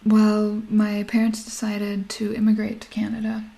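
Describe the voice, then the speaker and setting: A woman's voice: medium pitch, monotone, normal speed, gravelly, dark tone. A woman in her thirties who grew up in Nigeria, talking casually as an interview guest and laughing often as she talks. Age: 30s.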